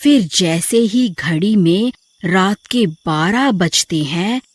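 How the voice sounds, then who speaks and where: A woman's voice: medium pitch, expressive, takes it slowly, dry recording. A woman narrating a scripted horror story.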